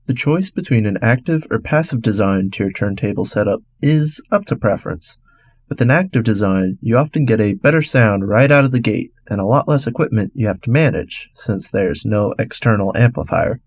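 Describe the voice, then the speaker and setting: A man's voice: lowish voice, expressive, moderate pace, husky. A man hosting a radio segment, reading a scripted explainer in an instructional, step-by-step way. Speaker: radio host.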